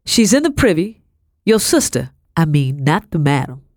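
A woman voicing a bossy, fast-talking middle-aged housekeeper with rustic grammar in an audiobook. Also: medium pitch, big pitch swings, darkish tone, no echo, studio quality, voice pushed up, natural voice. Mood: brusque. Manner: brisk, scolding, rustic grammar. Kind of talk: audiobook character voice.

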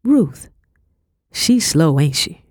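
A woman voicing a bossy, fast-talking middle-aged housekeeper with rustic grammar in an audiobook. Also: deep voice, very animated, a little mellow, breathy edge, dry recording, clean studio sound, exaggerated voice. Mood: brusque. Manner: brisk, scolding, rustic grammar. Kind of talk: audiobook character voice.

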